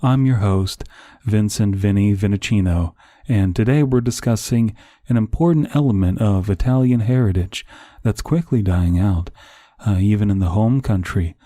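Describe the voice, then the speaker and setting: A man playing an earnest, hesitant host of a parody Italian-heritage podcast, speaking haltingly with many uhs. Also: lowish voice, warm tone, no echo, close to an everyday voice. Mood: earnest welcome.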